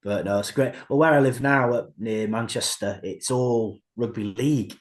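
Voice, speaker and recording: A man's voice: mid-range voice, normal speed. A man from North Wales, a podcast guest, talking in an easygoing way with hesitant restarts. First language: English. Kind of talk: casual chat.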